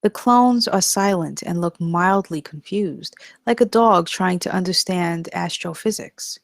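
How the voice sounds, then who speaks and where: A woman's voice: lowish voice, a little slow, gravelly, no room sound, clean studio sound. A woman narrating stage directions at a scripted table read.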